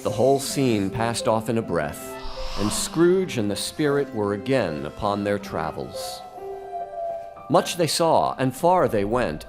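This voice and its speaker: A man narrating a live radio drama as scripted storytelling, with expressive, rhythmic delivery. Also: mid-range voice. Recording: music behind.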